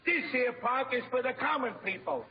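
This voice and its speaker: An actor playing a belligerent park soapbox orator, declaiming and sneering in old-time radio comedy style. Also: male voice, very high voice, lively intonation, brisk, slight background noise. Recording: muffled old radio broadcast, faint audience.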